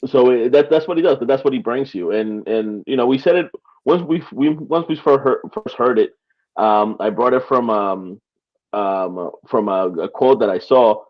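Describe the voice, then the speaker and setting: Man from Peru, mid-pitched, casual soccer talk with many restarts, slightly dull lo-fi sound. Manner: halting, restarts often, laughs between points. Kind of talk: casual sports chat.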